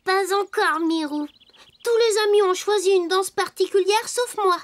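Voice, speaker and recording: Une comédienne de dessin animé qui joue un petit pantin de bois, un garçon joyeux et serviable. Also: female voice, very high-pitched, moderate pace. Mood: playful, pondering. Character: cheerful little wooden puppet boy, eager and helpful. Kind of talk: children's cartoon dub. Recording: loud children's music behind.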